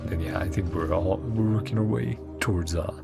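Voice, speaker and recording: A man's voice: low-pitched, brisk, gravelly. A man giving casual reaction commentary on a game story, in trailing, fragmented remarks. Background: game music.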